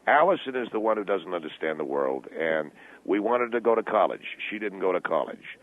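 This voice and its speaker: Over a tinny phone line comes a low-pitched, middle-aged businessman father, acted by a man for radio comedy. He is money-minded and self-justifying. He stumbles, repeats himself and defends his position stubbornly. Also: very animated. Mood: stern, insistent. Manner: halting, repetitive, defensive. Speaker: phone-in guest. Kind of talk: improvised talk radio comedy call.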